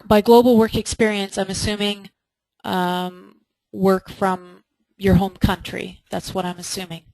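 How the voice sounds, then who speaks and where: Female webinar presenter, mid-pitched, explanatory and emphatic, fond of rhetorical questions, very little room sound. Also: flat delivery, a little slow, gravelly, a little mellow, no room sound, low-quality recording. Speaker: webinar presenter and resume instructor. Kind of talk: webinar teaching, answering participants' questions. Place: indoors, online webinar.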